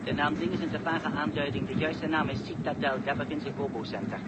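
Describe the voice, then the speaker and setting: An actor playing a pedantic robot guard in a 1960s radio play, with stiff, formal, bureaucratic diction. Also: male voice, on the high side, steady tone, quick pace, natural voice. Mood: officious, unemotional. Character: pedantic officious robot guard escorting human prisoners. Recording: very noisy old radio recording.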